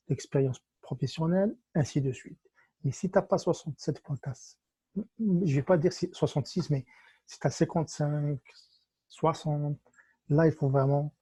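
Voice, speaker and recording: Tutorial host: man, fairly high voice with a very mellow, dark tone, speaking to the viewer one step at a time. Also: breathy edge, no echo, low-quality recording. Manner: explains step by step, talks straight to the viewer and gives advice. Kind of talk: casual explainer walking through a website.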